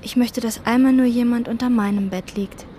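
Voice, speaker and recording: A woman's voice: fairly high pitch, steady tone, warm tone, slight background noise, close to an everyday voice. A woman as a brooding, obsessive chambermaid. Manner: slow, measured, introspective. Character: obsessive young hotel chambermaid, quiet loner. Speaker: narrator and lead character. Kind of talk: radio drama inner monologue.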